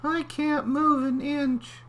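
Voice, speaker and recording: A man voicing a gentle, sad young clam in a storybook reading, speaking slowly in a high, plaintive voice. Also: flat delivery, exaggerated voice.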